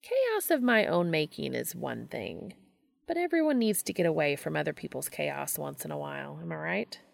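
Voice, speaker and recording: Woman reading as a teasing male prankster, casual and familiar, creaky voice, audiobook dialogue, dry recording. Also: low voice, very animated, normal speed, dry recording, full character voice. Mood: teasing, knowing.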